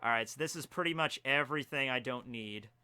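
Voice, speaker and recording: Brief: twenties male streamer, bright and clean tone, chatty, talks through what he is doing. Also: mid-range voice, fairly quick, smooth. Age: 20s. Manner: chatty, self-narrating while fixing stream problems. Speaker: stream host. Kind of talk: casual live-stream chat. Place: home room.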